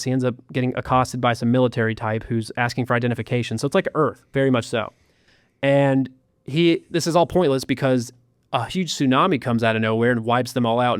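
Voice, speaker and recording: A man recounting a story in casual podcast talk, animated, with sarcastic asides. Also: mid-range voice, clean tone, no echo, studio quality. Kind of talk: casual chat.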